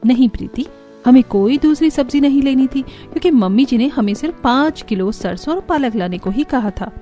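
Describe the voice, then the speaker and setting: Lady playing grumbly tired elder sister-in-law in a cartoon, resigned, mellow voice, music under. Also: high voice, expressive, moderate pace. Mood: matter-of-fact.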